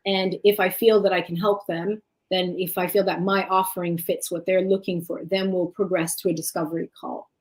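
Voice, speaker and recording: A woman raised in Sweden whose first language is Tigrinya, speaking as a life coach in an interview, reflective and measured with frequent fillers. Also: on the low side, level tone, low-quality recording. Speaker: life and leadership coach, guest. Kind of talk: interview conversation.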